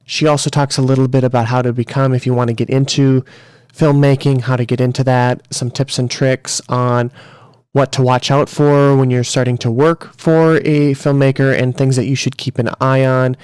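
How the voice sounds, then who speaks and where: A man hosts an interview show at a middle pitch, his voice smooth and resonant. He introduces the guest loosely, with fillers and small restarts. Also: steady tone, normal speed, darkish tone. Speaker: podcast host. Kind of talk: interview hosting.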